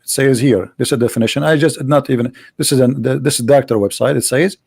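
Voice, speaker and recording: A man's voice: medium pitch, steady tone, fast, creaky, darkish tone, breathy edge, no echo, clean studio sound. A man whose first language is Arabic, pressing his points in a heated online debate, repeating himself and talking over the other speaker. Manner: insistent, interrupting, talks over the other. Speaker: debate host.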